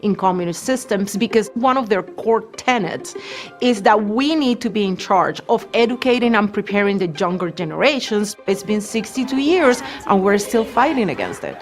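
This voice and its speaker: A woman's voice: medium pitch, lively intonation, moderate pace, soft background music. A woman from Cuba speaking with determination in an interview. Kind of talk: documentary interview.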